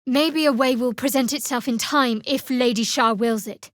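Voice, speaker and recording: An actress playing a guarded, sardonic young cleric of a dark goddess in a fantasy game, with a dry, wry delivery. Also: female voice, on the high side, moderate pace, dry recording, lightly acted. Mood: composed, solemn.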